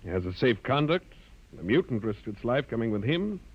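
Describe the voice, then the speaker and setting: An actor playing an authoritative, calm starship captain in a 1950s radio drama, formal and deliberate. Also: male voice, mid-range voice, breathy edge. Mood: measured, judicial. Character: measured, authoritative starship captain, weighing evidence calmly. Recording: muffled vintage 1950s radio recording.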